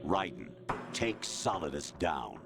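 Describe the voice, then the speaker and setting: An actor playing a cold artificial intelligence posing as a military colonel in a video game, imperious, taunting and deliberate, over loud music. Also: male voice, a bass voice, sing-song, vocal fry, exaggerated voice. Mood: cold command. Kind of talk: video game cutscene acting.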